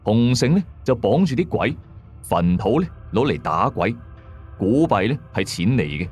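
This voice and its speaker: Low, expressive male storyteller. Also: moderate pace, husky. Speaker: audio drama narrator. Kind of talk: scripted first-person horror story narration. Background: background music.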